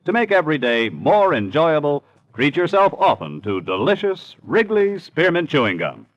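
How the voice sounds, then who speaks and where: Male American announcer, vintage radio, animated high voice, polished emphatic sales read, muffled recording with faint music. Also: moderate pace. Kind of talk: 1940s radio announcing, scripted sponsor reads and credits.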